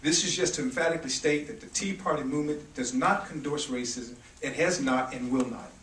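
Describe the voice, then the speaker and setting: Man, moderator, measured emphatic delivery, echoey room, distant mic. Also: medium pitch, a bit bright, slight background noise. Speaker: moderator of the press conference. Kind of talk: public statement. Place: press club conference room.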